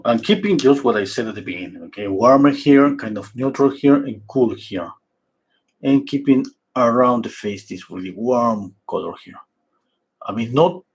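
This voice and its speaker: Gravelly man thinking aloud while painting. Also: mid-range voice. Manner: thinking aloud, halting, repeats words. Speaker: art instructor. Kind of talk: talking while painting a pastel portrait.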